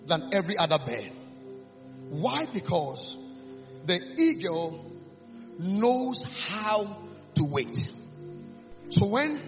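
Ghanaian preacher with a high, gravelly voice. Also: male voice. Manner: fiery call-and-response preaching, swings from hushed to shouting. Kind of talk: sermon.

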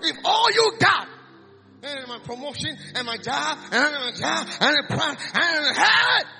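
Ghanaian preacher with a high, gravelly voice. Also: male voice, big pitch swings, a little slow, soft background music. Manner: fiery call-and-response preaching, swings from hushed to shouting. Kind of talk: sermon.

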